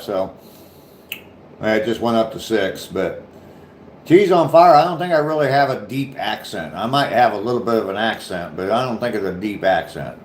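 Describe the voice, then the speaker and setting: A man from Ohio with a mild southern Ohio accent and a deep voice, chatting casually on his livestream and answering viewers' comments. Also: roomy sound, slight background noise. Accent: southern Ohio, slight Appalachian touch. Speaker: livestream host.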